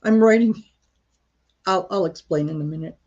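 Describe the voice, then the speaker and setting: A woman from Croatia hosting a casual live stream, talking in a rambling, halting way with many fillers and restarts. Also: on the low side, dark tone, muffled recording.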